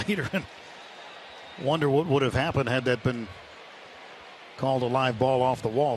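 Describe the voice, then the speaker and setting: An American man calling live baseball play-by-play for a radio broadcast. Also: medium pitch. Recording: radio broadcast over clear stadium noise. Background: ballpark ambience and crowd noise.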